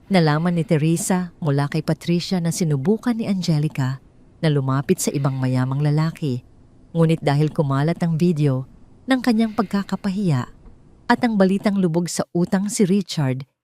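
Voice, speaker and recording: A woman's voice: low voice, moderate pace, warm tone. A woman with a Filipino accent reading a scripted romantic drama story as an audiobook narrator.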